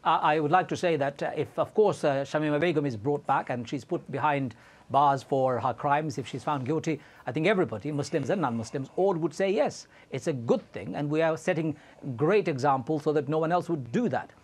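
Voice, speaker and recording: A man's voice: a little high, fairly quick. A man in his forties, born in Bangladesh, arguing insistently as a guest in a televised studio debate. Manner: impassioned, insistent, animated. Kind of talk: heated TV debate.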